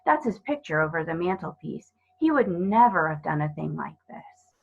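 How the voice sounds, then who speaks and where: A woman's voice: sits low, very animated, moderate pace, gravelly, husky, narrow, tinny audio, exaggerated voice. A woman voicing a timid, guilt-ridden male faun in a children's storybook, tearful, pleading and melodramatic. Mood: ashamed, gloomy.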